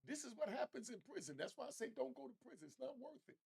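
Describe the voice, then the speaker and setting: A man's voice: very high voice, sing-song, quick pace, gravelly, darkish tone, husky. A man giving informal opinion commentary to viewers, talking in street slang with emphatic repetition.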